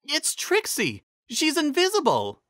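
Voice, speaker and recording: A brisk, observant female pony in a fantasy story, put on by a narrator. She speaks in a high, animated, exclamatory voice. The sound is bright and dry. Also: no room sound, heavily acted. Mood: surprised. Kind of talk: dramatic fan fiction reading.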